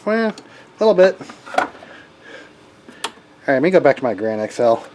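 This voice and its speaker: A man's voice: medium pitch, sing-song, normal speed, darkish tone, a little hiss or hum. A man giving a casual hands-on tech demo as a hobbyist, talking informally and rambling as he thinks aloud. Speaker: hobbyist radio operator demonstrating equipment.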